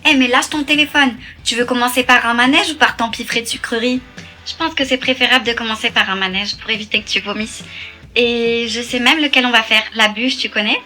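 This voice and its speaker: Actress as playful young date, bright voice. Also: female voice, on the high side, steady tone, a little fast, faint music behind. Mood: playful, teasing.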